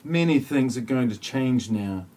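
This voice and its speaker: A man playing a solemn, grandiose messianic figure who judges humanity, speaking in a husky, stilted, portentous lecturing manner. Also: medium pitch, animated, measured pace, a little mellow, close to an everyday voice. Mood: cold, grandiose.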